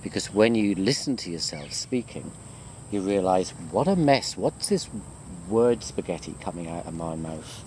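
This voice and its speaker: Low-pitched man, chatty rambling lecturer. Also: expressive, moderate pace, a little mellow, a little hiss or hum. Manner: self-deprecating, rambling and conversational. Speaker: university lecturer. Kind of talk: informal walking video chat to students.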